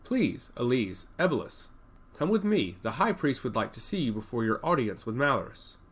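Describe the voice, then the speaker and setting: A man voicing a brisk, self-assured young woman priest in a fantasy audiobook, formal and matter-of-fact. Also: mid-range voice, very animated, fairly bright tone, slightly rough. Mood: polite, insistent.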